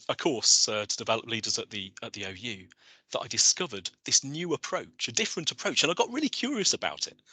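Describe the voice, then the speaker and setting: Man, webinar host, enthusiastic, bright forceful voice, very lively pitch, dry sound. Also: husky, no echo, poor audio. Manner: enthusiastic, animated, with frequent restarts. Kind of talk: presenting a webinar with slides. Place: online video call.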